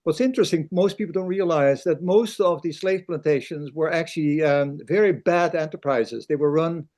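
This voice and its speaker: A man's voice: a little high, moderate pace, a little mellow. Older man, rambling question. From Netherlands. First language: Dutch. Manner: rambling, many fillers. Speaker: audience member asking a question. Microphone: video call.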